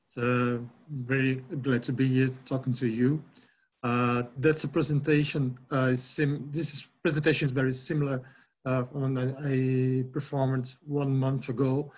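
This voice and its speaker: A middle-aged man from Brazil with a Brazilian Portuguese accent, giving a halting academic webinar talk over a video call with many fillers and restarts. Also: medium pitch, level tone, darkish tone. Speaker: guest lecturer.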